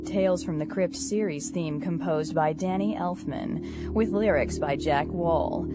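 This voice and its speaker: A woman series announcer reading scripted credits in a measured, formal way. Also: lowish voice.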